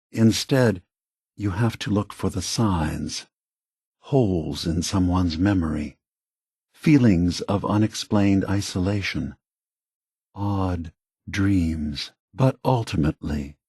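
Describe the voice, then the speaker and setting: An actor playing a calm, grave synthetic man, a weary scheming leader, with a husky, measured, deliberate delivery for a video game. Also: deep voice, dry recording, clean studio sound, full character voice. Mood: reflective.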